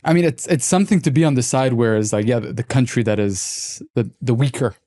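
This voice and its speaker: A man in his twenties from Lebanon, a podcast guest telling his story in a relaxed, candid, reflective way. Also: medium pitch, fairly quick. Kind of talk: casual interview talk.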